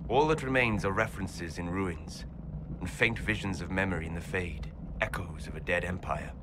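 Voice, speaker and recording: A man playing a scholarly, composed elven mage in a game cutscene, speaking with grave, measured, lyrical phrasing. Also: deep voice, noisy background, natural voice. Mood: grave, thoughtful.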